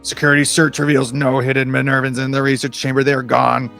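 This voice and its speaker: A man voicing a stern, officious robot group leader in a storybook, with a scratchy, squeaky mechanical voice and formal, clipped speech. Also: completely flat delivery, fast talker, gravelly, a bit bright, faint music behind. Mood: alarmed, formal. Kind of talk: dramatic reading of a story.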